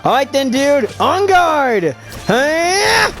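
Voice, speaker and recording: A woman's voice: sits high, big pitch swings, very slow. A woman casually commenting and reading along while playing a video game. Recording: faint game music behind.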